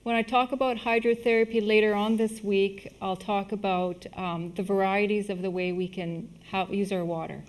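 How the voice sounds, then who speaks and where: A woman giving a public health lesson in short, plain segments, pausing for an interpreter. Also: mid-range voice, fairly even intonation. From Canada. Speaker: health lecturer, medical missionary.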